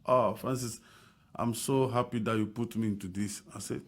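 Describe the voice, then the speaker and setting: A man's voice: on the low side, steady tone, normal speed. A man whose first language is French, a Red Cross volunteer guest in a radio studio, speaking emphatically with repeats and restarts. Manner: emphatic, repetitive, many restarts. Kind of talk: radio interview. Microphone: studio microphone.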